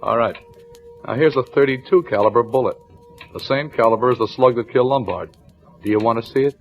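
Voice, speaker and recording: An actor playing a shrewd, weary police lieutenant in an old-time radio crime drama, with clipped colloquial delivery. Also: male voice, medium pitch, brisk, natural voice. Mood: triumphant, pressing. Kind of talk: old-time radio crime drama acting, first-person narration and dialogue. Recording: dull vintage radio recording with music.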